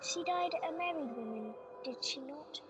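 Ghost guide, bit teasing and bossy, high voice, radio play, music loud under it. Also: female voice, animated, normal speed. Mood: gentle, probing. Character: knowing ghostly spirit guide, gently chiding.

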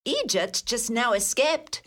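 An actress playing a bold, caring, outspoken Irish lass in a radio play, with an earnest manner. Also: female voice, a little high, very animated, takes it slowly, a bit bright, heavily acted. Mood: indignant, urgent.

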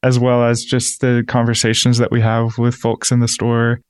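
A man's voice: mid-range voice, monotone, normal speed, clear, resonant tone, dry recording, clean studio sound. A man, a shop co-owner, explaining things conversationally as an interview guest.

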